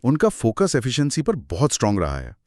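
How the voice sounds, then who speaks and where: A man's voice: mid-range voice, huge pitch swings, brisk, darkish tone, no room sound, clean studio sound. A man co-hosting a conversational explainer, speaking in an emphatic, explanatory way with English terms mixed in. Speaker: co-host explaining business results.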